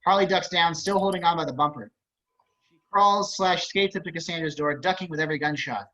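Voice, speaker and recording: Male stage-directions reader, slightly high voice, scripted narration, lo-fi online call sound. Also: brisk, bright, forceful tone. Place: indoors, on a video call. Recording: dull video-call audio.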